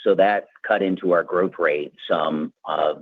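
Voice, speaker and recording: A male executive giving unscripted, measured explanations on an earnings call, with many asides. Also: deep voice, fairly even intonation, no room sound. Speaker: company finance executive answering analysts. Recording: narrow tinny conference phone line.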